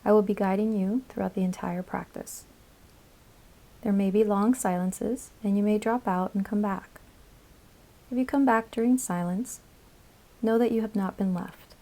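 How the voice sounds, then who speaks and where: A woman guiding a yoga nidra meditation in a calm, soothing, instructional manner, with measured phrases and pauses. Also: mid-range voice. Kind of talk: guided meditation narration.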